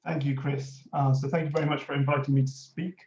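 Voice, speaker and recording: A man's voice: mid-range voice, little pitch movement, quick pace, a little mellow, slight background noise. Welsh man presenting in a roomy hall. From Wales. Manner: explanatory, frequent "uh" fillers. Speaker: conference speaker, innovation lead. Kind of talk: presentation talk with slides. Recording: roomy, dull lo-fi audio.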